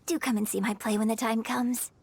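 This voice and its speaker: A woman's voice: fairly high pitch, level tone, fairly quick, husky, no room sound. A woman voicing a gracious young female opera playwright who speaks courteously and formally. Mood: polite. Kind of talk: game cutscene voice acting.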